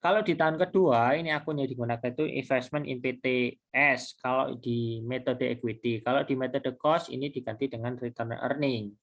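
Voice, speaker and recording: Male lecturer, medium pitch. Conversational, halting tutorial delivery, circles back over points as he explains. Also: steady tone, creaky, muffled recording. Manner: halting, repetitive, conversational, with self-posed questions. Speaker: accounting lecturer. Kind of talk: informal recorded tutorial, explaining step by step.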